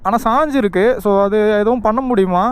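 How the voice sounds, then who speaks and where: A man with a Tamil Nadu accent chatting casually and excitably to viewers as a motorcycle vlogger. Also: high-pitched, normal speed, clean tone. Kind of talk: casual vlog chat. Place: outdoors on a roadside. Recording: noisy outdoor roadside with traffic. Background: traffic and vehicle noise.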